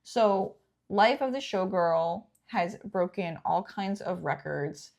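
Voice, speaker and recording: A young woman with a very animated voice that swoops up and down. She hosts a casual news chat in a chatty, lively way. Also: medium pitch, vocal fry. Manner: lively, quick to laugh, chatty. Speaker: host.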